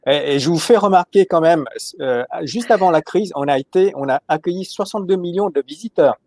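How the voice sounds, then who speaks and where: Halting, joking man moderating a panel. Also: a little high, a little mellow, no room sound. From Paris. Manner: joking, halting with many repeated words. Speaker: webinar moderator. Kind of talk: casual panel discussion.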